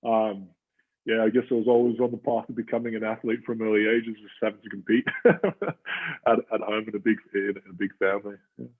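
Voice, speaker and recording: A thirty-year-old Australian man, a rugby player, talking as an interview guest in a halting way with plenty of fillers. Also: on the low side. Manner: halting, filler-heavy storytelling. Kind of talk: casual interview chat. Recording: tinny phone-like line.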